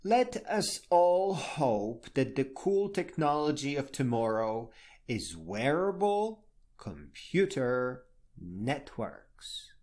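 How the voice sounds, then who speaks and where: A man's voice: mid-range voice, very animated, takes it slowly, darkish tone. A man reading a scripted personal blog piece aloud as its narrator.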